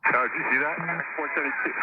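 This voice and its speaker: A man's voice: a little high, fairly even intonation, very fast, highs cut off. A man working as a tower controller, giving clipped air traffic instructions over radio.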